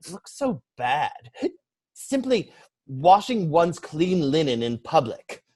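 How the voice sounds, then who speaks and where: A man playing a witty, flippant young English gentleman in a stage comedy reading, declaiming with pompous mock seriousness. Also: a little high, sing-song, takes it slowly, breathy edge, heavily acted. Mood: airy indignation.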